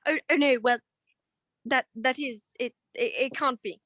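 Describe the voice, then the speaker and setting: A woman's voice: high voice, fairly even intonation, measured pace, fairly bright tone, no room sound, studio quality. A woman playing an anxious, genteel married client in a 1940s-style detective radio play, pleading with many stammered hesitations. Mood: flustered, pleading.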